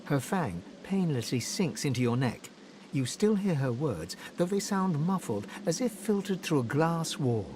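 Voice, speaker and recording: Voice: medium pitch, very animated, a little slow, background noise. A narrator reading dramatic scripted storytelling for a fantasy game.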